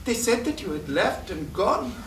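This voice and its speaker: A man's voice: high-pitched, sing-song, normal speed, a bit bright, a little hiss or hum. An actor playing an old, devout male family servant in a stage play, speaking with formal, solemn address. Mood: earnest. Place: theatre stage. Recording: echoey stage from far off, music.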